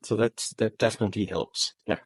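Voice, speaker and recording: A man answering interview questions about his company, speaking in halting phrases with frequent restarts. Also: fairly low pitch, fairly even intonation, breathy edge, no room sound. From Germany. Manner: halting, many restarts and repeated words. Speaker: roofing company manager.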